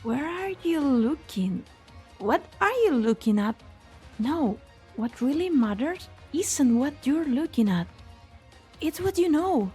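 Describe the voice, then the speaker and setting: A woman voicing a spirited, quick-thinking young researcher in an amateur fan dub, with an expressive, questioning delivery. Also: sits high, soft background music, exaggerated voice. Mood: puzzled, urgent.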